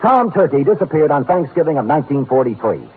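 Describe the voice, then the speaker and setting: An actor playing a wry, unflappable, hard-boiled private detective, acting and narrating in 1950s radio style. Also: male voice, on the high side, sing-song, quick pace, slightly rough. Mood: brisk, urgent. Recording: narrow-band old radio broadcast.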